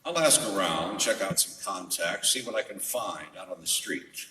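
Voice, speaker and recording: Hardboiled male gumshoe played by an actor, noir radio drama: low, forceful, wry and world-weary, in a big echoey hall. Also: animated, normal speed. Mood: sardonic, assured. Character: hardboiled wisecracking male private detective. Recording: echoey hall, distant mic.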